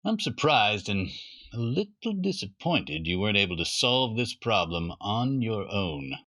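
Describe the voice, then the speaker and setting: Storybook dad, man performing: confident, pompous, old-fashioned bluster, lowered pitch. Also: very animated, a little slow, pushed and bright, full character voice. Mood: confident, blustery. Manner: matter-of-fact, pompous. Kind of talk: children's storybook reading.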